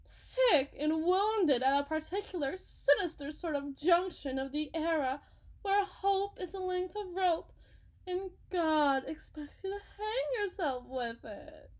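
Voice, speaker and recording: Lady doing a folksy con artist faith healer, real high voice, slow showy salesy patter, full of herself, audio roleplay. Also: expressive, full character voice. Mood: grandiose. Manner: theatrical, smooth-talking salesperson patter with dramatic pauses. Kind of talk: scripted ASMR roleplay monologue.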